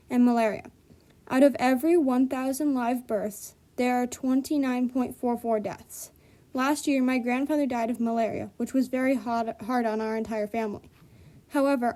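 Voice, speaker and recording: A woman's voice: on the high side, mellow. A woman reading a scripted school report aloud with a careful, earnest delivery, speaking in the first person as a character. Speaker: student presenter.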